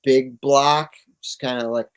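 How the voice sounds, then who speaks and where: A man's voice: mid-range voice, fairly even intonation, a little slow, clean tone. A man talking casually and enthusiastically as an interview guest, in laid-back, slangy language. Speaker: interview guest, cannabis grower.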